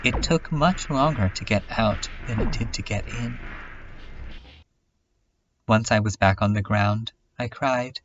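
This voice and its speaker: A man playing a lonely, despairing last survivor, narrating a bleak diary in a halting, confessional way. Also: mid-range voice, fairly bright tone, dull audio, a little hiss or hum, natural voice. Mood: bleak, detached. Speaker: narrator of a horror comedy fan dub. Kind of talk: slow first-person scripted narration.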